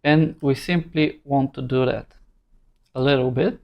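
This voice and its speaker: Slow, halting male tutorial instructor. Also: medium pitch, steady tone, vocal fry, highs cut off. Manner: halting, thinking aloud in short phrases. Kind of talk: unscripted screen-recorded tutorial narration.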